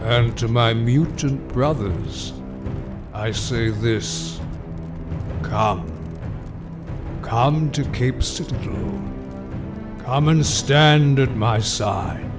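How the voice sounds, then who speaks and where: An actor playing a commanding, menacing mutant supervillain giving a grandiose, deliberate speech in an audio drama. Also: male voice, mid-range voice, over music. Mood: rallying. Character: commanding mutant supervillain declaring his supremacy to the world. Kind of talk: audio drama villain monologue.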